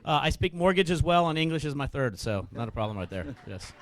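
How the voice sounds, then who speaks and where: Fast-talking male lender. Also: fairly high pitch, big pitch swings. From Mexico. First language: Spanish. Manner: fast, chatty, joking, enumerates points. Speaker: mortgage lender. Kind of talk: quick sales pitch to a meeting.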